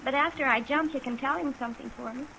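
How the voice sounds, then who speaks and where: A woman's voice: high voice, fast, gravelly, slight background noise, full character voice, low-quality recording. An actress playing a desperate, bitter, fearful young woman in a 1950s radio drama, emotional and plaintive, on a vintage recording. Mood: weary, despairing. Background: steady rain sounds.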